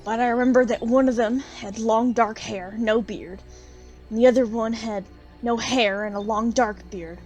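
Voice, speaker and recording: A woman's voice: on the high side, fairly even intonation, moderate pace, darkish tone, soft background music, lightly acted. A woman playing a poor, plain-spoken country widow, bitter and unsentimental, in an audio drama. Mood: grudging.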